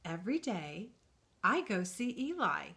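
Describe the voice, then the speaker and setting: A woman voicing a calm, self-assured little wooden girl in a children's story. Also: medium pitch, measured pace, creaky, full character voice. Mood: breezy.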